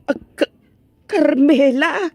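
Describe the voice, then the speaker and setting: A woman's voice: high voice, slow pace, dark tone, no room sound. A woman acting in an Ilocano drama as distressed, argumentative women, exclaiming emotionally with a Filipino Ilocano accent. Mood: shocked, tearful.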